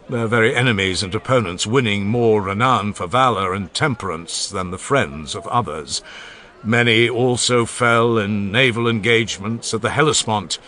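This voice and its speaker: A man's voice: mid-range voice, bright, forceful tone. A man reading an ancient funeral oration aloud as an audiobook narrator, with solemn, declamatory delivery and strong swings in emphasis.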